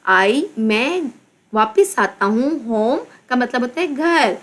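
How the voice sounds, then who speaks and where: A woman teacher from Pakistan with a Pakistani accent, slowly reading a children's English textbook aloud and explaining each word. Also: on the high side, lively intonation, fairly bright tone. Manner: addresses pupils as "beta". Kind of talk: slow textbook lesson with translation.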